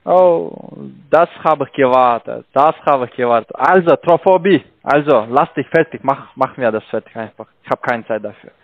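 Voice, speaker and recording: A man with a foreign accent in German, a call-centre agent arguing on the phone in a halting, insistent way. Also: mid-range voice, no echo, dull audio. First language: not German. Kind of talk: unscripted phone sales argument. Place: on a telephone line.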